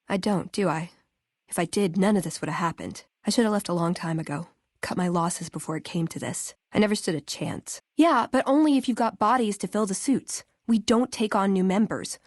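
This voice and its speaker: Game role: earnest young female scribe played by a woman, American, dryly wry and conversational, mid pitch, close dry studio sound. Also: a little mellow, no room sound, lightly acted. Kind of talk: video game character dialogue.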